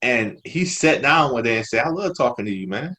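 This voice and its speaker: A man's voice: mid-range voice, a little fast, crowd noise. Informal, slangy man telling stories. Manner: animated retelling with quoted dialogue, informal and slangy. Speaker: podcast guest. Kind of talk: casual storytelling chat.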